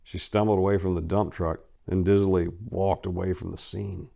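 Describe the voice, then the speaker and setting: A man with a fairly low voice narrates crime fiction as a dramatic reading. His storytelling is intense and expressive, following a written script. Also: normal speed, creaky.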